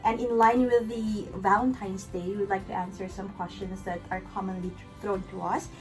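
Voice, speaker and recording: A woman's voice: medium pitch, flat delivery, a little fast, darkish tone, faint music behind, low-quality recording. A woman with a Filipino accent, chatting casually and laughing often as co-host of a couples vlog. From Philippines. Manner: chatty, giggly, self-correcting. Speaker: vlogger co-host.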